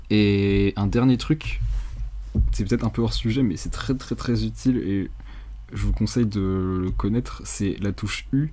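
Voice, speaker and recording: A young man giving a casual, unscripted software tutorial in a hesitant, rambling, colloquial way, with a slightly husky voice. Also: fairly low pitch. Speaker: tutorial presenter.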